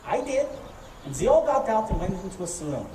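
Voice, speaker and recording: A woman's voice: medium pitch, big pitch swings, brisk, warm tone, slight background noise, natural voice. A woman playing a male Russian immigrant millwright, telling his story in a scripted historical monologue. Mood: recounting. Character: Russian immigrant man, millwright and driver, recounting events. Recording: roomy, distant microphone. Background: music.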